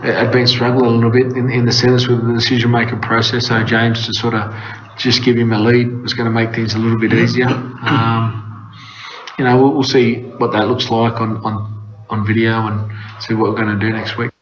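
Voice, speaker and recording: A man's voice: mid-range voice, level tone, moderate pace. A man, a rugby coach giving unscripted comments in a sports interview. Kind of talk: unscripted post-match comments. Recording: dull lo-fi clip, faint background noise.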